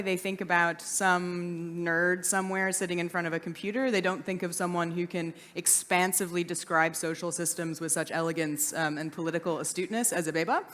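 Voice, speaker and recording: A woman moderates a festival conversation in articulate, flowing sentences. Her voice is low yet bright and sharp. A long hall reverb trails her words. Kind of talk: on-stage interview questions. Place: festival stage.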